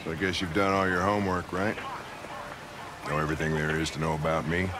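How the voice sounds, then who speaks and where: An actor playing a jaded, middle-aged police lieutenant in a video game, gruff, blunt and sardonic. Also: male voice, very deep voice, little pitch movement, fairly quick, noisy background. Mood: grumbling, curious. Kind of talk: cinematic video game acting.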